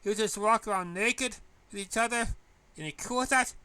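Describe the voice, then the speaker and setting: Man voicing a loud, high-pitched brash teenage girl. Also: moderate pace, smooth, no room sound. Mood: loud, incredulous. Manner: loud, incredulous, casual slangy delivery.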